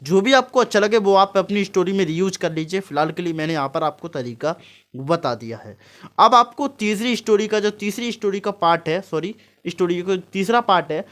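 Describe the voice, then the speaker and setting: A man's voice: sits high, expressive, fairly quick, a bit bright. A man hosting an informal, step-by-step screen tutorial. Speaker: tutorial host.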